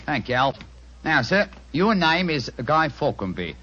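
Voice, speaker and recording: A working-class Cockney plumbing inspector, chummy and deferential, in vintage radio drama style. The voice is bright and sits a little high, with chatty patter. The old recording is dull. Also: male voice, big pitch swings, measured pace, slight background noise, exaggerated voice. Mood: chatty, evasive.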